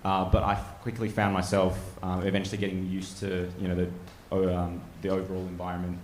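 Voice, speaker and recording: A man's voice: low voice, brisk, slight background noise. A young Australian man, a trainee officer, answering casually and reassuringly. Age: young adult. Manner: casual, reassuring, relaxed fillers. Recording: echoey hall.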